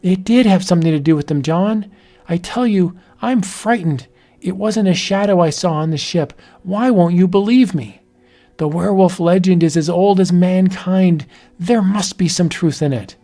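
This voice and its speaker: A woman's voice: on the low side, fast, hardly any pauses, warm tone, faint music behind, voice pushed up, lightly acted. A man voicing a frightened, nervous young woman in a read-aloud horror story. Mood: anxious.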